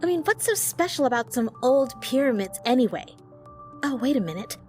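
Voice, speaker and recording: Woman acting a brash, teasing, higher-voiced young friend. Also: big pitch swings, brisk, darkish tone, faint music behind. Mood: excited. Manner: slangy, blunt, playfully dramatic. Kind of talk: audio drama acting.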